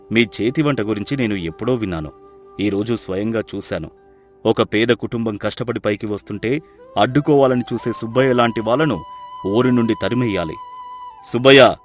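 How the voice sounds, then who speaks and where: A man's voice: medium pitch, natural voice. A man acting a stern, fair village landlord in a moral story, speaking with grave authority. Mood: solemn judging. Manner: grave, commanding.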